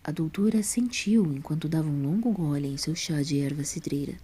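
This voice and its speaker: A woman narrating a scripted story as an expressive storyteller. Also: low-pitched, brisk, slightly rough.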